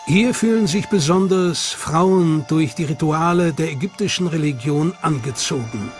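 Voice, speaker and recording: A man with a notably high voice delivers scripted documentary narration, measured and solemn. Loud music runs under his voice throughout.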